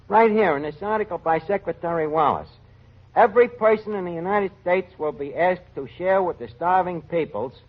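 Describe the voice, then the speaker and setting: A man's voice: high-pitched, normal speed. An actor playing a wisecracking tavern manager, sarcastically mangling his grammar in a 1940s radio comedy. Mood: earnest. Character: fast-talking, malapropism-prone wisecracking male tavern manager. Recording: dull vintage radio recording.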